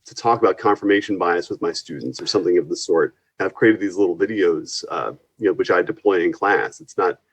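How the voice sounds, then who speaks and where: A man with a fairly low voice talks like a college science instructor being interviewed. He is earnest and conversational, explaining things through small anecdotes and quoted asides. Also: fairly quick, hardly any pauses, slightly rough. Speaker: university physics and astronomy instructor, guest.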